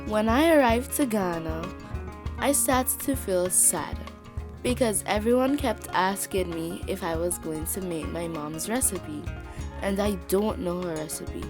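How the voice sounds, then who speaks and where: A woman voicing a young girl who tells her own story in an expressive, scripted children's storybook narration. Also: medium pitch, a little slow, over music, close to an everyday voice. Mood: wistful. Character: young Ghanaian-Canadian girl telling her festival story.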